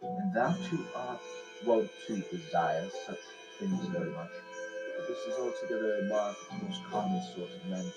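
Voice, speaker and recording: A man reads philosophy in a low, deep voice with a solemn and formal delivery. It is scripted audiobook narration, with loud instrumental music playing underneath. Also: normal speed, poor audio. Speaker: audiobook reader.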